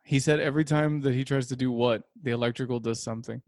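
A man's voice: medium pitch, steady tone, fast talker, a little mellow, no echo, studio quality. A man co-hosting a podcast, teasing the others and steering the casual chat over a video call. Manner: teasing, laughs easily. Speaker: podcast co-host.

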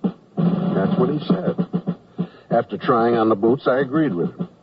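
Man acting a hapless army draftee, lively swooping pitch, wry, polite, comedy narration, muffled noisy radio recording. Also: measured pace, natural voice. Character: hapless, polite newly drafted war correspondent.

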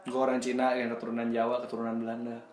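A young man co-hosts a loose conversation, mostly agreeing and slipping in jokes. His voice is mid-pitched for a man. The room has a noticeable echo. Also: monotone, rapid-fire, darkish tone, a little hiss or hum. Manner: agreeable, chimes in with short replies and jokes. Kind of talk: casual chat.

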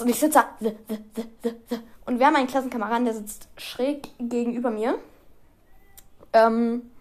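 A woman's voice: a little high, a little mellow. A teenage girl chatting casually to her viewers, rambling and giggling often, with frequent restarts. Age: teens. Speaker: vlogger. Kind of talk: casual chat to camera.

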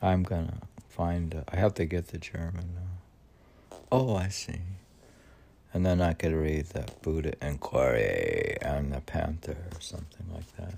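A man with a very low, gravelly voice hosts a poetry reading. He moves between verse and rambling casual remarks. His musing is halting and unhurried, often questioning himself. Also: darkish tone. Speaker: podcast host reading poetry.

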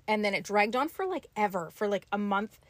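Soft-spoken woman podcast co-host. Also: medium pitch, lively intonation, fairly quick, dry recording. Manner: storytelling, reflective. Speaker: podcast co-host and spiritual teacher. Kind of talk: casual podcast chat. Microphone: podcast mic.

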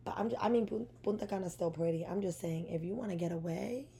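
A young American woman with a raspy voice, chatting casually as a podcast co-host. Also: fairly low pitch, fast, warm tone. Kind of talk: casual chat.

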